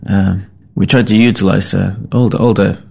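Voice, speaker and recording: A middle-aged Finnish-born man with a Finnish accent, a rock musician talking casually in English, his second language, in a halting, rambling, self-deprecating way. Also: low voice, fairly even intonation, creaky, mellow, breathy edge. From Finland. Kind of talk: casual spoken account.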